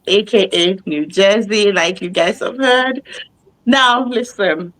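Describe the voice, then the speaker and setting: A woman's voice: medium pitch, fairly bright tone, no echo, poor audio. Cheerful woman, dry close sound. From Nigeria. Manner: cheerful, joking. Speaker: co-host. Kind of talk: playful self-introduction.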